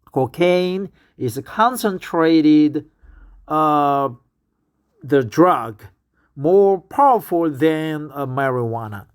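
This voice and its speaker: A man with a Korean accent, a native Korean speaker, reading news aloud to practice English, stopping to comment and repeating phrases over and over. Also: a little high. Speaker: English learner.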